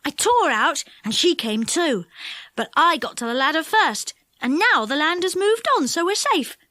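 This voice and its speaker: A woman voicing a cheerful young boy who tells his tale in an excited, tumbling storybook manner. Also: high voice, moderate pace, no room sound, pitched above the performer's own voice. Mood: excited, relieved.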